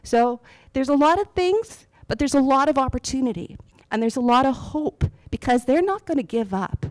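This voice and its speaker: A woman's voice: high voice, expressive, moderate pace, no echo, poor audio. A woman speaking earnestly and passionately on a panel about schools and teachers. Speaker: panelist, education advocate. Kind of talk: panel discussion.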